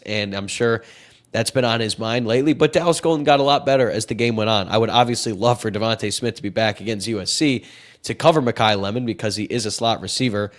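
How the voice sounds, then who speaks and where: Stuffy-nosed man hosting a sports podcast. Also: medium pitch. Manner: chatty, opinionated, quick asides. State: stuffy nose from a cold. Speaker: podcast host. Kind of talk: live sports podcast with chat questions.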